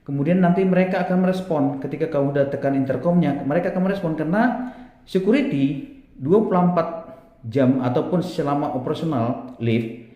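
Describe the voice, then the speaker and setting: A man's voice: on the high side, lively intonation, a little fast, lo-fi sound. A man giving an informal technical lesson as a trainer, explaining step by step and often checking in with a tag word. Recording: roomy, echoing sound.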